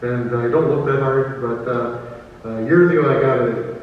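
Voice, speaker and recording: A man's voice: mid-range voice, a little slow. A man chatting casually as a panelist at a live discussion. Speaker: panelist, comic creator. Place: convention panel room. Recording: dull sound, echoey hall, distant mic, faint noise.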